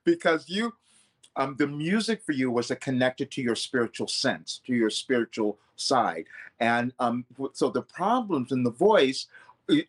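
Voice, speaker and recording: A man, a vocal coach, talking earnestly in an interview, often correcting himself mid-sentence. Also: mid-range voice. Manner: earnest, loose, self-correcting. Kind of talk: reflective interview talk.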